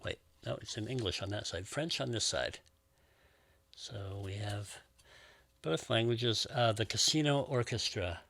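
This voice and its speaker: A man's voice: fairly low pitch, measured pace, creaky, no room sound. A man chatting casually and enthusiastically as he unboxes old records and muses on each one. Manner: warm, musing, enthusiastic about records. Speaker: record collector and show host.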